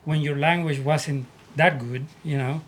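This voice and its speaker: A man from Puerto Rico with a Puerto Rican Spanish accent, telling his life story as an interview guest in earnest, halting English. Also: a little high, steady tone. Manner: earnest, repeats words as he searches for English. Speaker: guest, army veteran.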